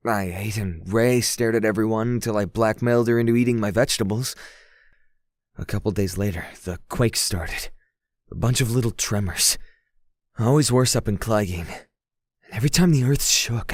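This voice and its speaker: A man's voice: lowish voice, no echo, studio quality, close to an everyday voice. Man playing a young, weary, self-doubting prince. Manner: hesitant, casual, brooding. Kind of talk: audio drama acting and first-person narration.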